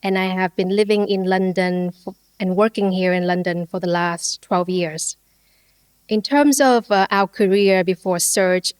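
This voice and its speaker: Woman from vietnam guesting on a podcast, smooth clear voice, dry close sound, talks it through calmly. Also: mid-range voice, dry recording. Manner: measured, detailed, light laughs. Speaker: podcast guest, business owner. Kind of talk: conversational interview storytelling.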